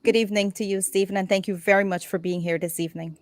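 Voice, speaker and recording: A woman's voice: medium pitch, fairly even intonation, fast talker, no room sound, clean studio sound. A middle-aged woman hosting a live online talk show, speaking in an effusive, heartfelt way.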